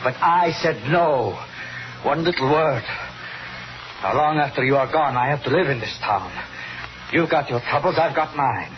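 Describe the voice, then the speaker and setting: An actor as a blunt hotel owner, noisy old radio. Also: male voice, mid-range voice, animated, moderate pace, pauses often, lo-fi sound, natural voice. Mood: stern, unmoved. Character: unmoved, world-weary male small-town hotel owner. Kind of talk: 1950s radio suspense acting.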